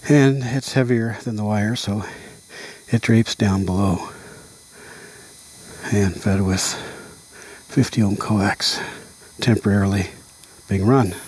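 A man with a slightly husky voice gives a casual, unscripted walkaround demonstration as a hobbyist, explaining things in pieces with pauses mid-phrase. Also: lowish voice, a little mellow. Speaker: amateur radio hobbyist vlogger. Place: outdoors in a house yard and driveway.

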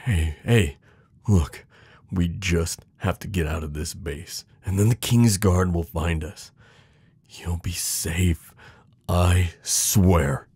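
A man playing a devoted, protective knight in an intimate fantasy romance audio roleplay, urgent and pleading with hushed reassurance. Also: low voice, slow pace, slightly rough, no echo, heavily acted. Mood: tense, protective. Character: devoted protective male knight, desperate, tender lover.

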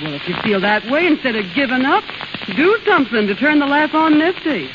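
Lively young carnival vamp, hissy recording. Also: female voice, sits high, brisk. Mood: concerned. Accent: American. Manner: slangy, teasing. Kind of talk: 1930s American radio acting. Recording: muffled, hissy, crackling 1930s radio recording.

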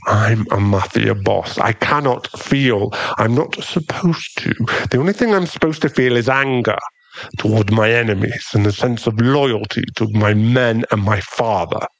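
A man's voice: fairly low pitch, moderate pace, rough recording. A man playing a brooding, protective mafia boss in love, in an intimate ASMR boyfriend roleplay. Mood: guarded, conflicted.

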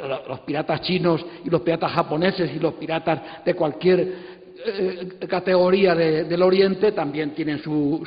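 A man, giving an informal, emphatic and opinionated conference talk. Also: high-pitched. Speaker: invited panel speaker, book editor. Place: stage in an auditorium. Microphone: handheld microphone. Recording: muffled, long hall reverb.

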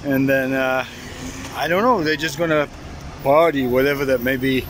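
Middle-aged man vlogging casually over outdoor noise. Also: moderate pace. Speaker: sailing vlogger. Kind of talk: casual vlog chat and DIY explanation. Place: outdoors at a harbor boatyard and on a boat deck. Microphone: handheld camera at arm's length. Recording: noisy outdoor recording with ambient rumble.

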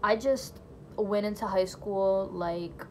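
A young woman whose first language is Portuguese tells her personal testimony to camera from her bedroom. She rambles casually, full of fillers, at a middling pitch. Faint background noise sits under the close phone mic. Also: fairly even intonation, slow pace, a little mellow. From Brazilian family. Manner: chatty, lots of "like" and "you know", laughs lightly. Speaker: vlogger sharing her faith testimony. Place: small bedroom, indoors. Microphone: phone or camera close in front.

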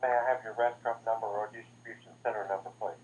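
A man's voice: on the low side, fairly even intonation, rapid-fire, darkish tone. A man working as a phone operator, speaking formally in customer service style over a telephone line. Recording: dull phone audio with faint noise.

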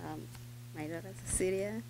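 A middle-aged mother whose first language is not English, speaking haltingly and searching for words at a school board meeting. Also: lowish voice, creaky, a little mellow, slight background noise, low-quality recording. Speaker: parent speaker. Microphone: podium microphone.

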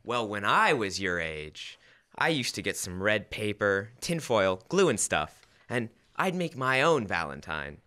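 An excitable suburban dad from an old radio comedy, played by a teenage male. His voice is bright and swings up and down freely as he meddles in family affairs. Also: on the low side, measured pace, full character voice. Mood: advising. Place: school library. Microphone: standing stage microphone.

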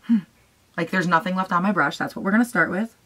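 Raspy-voiced young woman gushing over makeup. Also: on the low side, quick pace, fairly bright tone. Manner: gushing and chatty, talks to herself. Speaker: beauty vlogger. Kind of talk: casual makeup tutorial chat. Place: small room at home, indoors.

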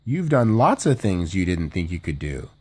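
A kind bear from a children's book, read by a man. He speaks in a gentle, encouraging way, with an animated rise and fall and a slightly husky tone. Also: low voice, normal speed, heavily acted. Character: kind, reassuring male toy bear.

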